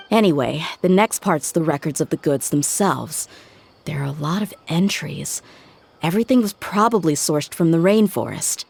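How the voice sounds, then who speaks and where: A young woman playing a tough, blunt, self-assured mercenary in a video game. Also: on the low side. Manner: assertive, no-nonsense. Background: game music.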